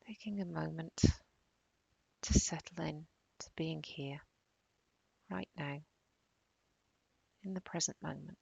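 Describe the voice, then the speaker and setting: A woman guiding a body-scan meditation in a calm, soothing, unhurried way, with long pauses and soft, breathy phrase endings. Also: deep voice, dry recording, dull audio. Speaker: meditation guide.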